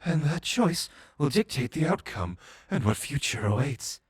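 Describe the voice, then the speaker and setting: A theatrical, wildly swooping mad zealot. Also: male voice, fairly high pitch, sing-song, slightly rough, full character voice. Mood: ominous wonder. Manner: grandiose, preaching, drawn-out dramatic delivery. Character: mad zealous male servant of a moon goddess, theatrical villain. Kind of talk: scripted fantasy audio roleplay.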